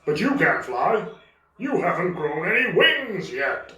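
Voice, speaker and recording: A man's voice: high-pitched, sing-song, unhurried, bright, forceful tone, exaggerated voice. A man voicing a big, greedy, doting dragon dad in an improvised puppet show, hammy and relishing his words. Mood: boastful. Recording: roomy, echoing, distant from the mic.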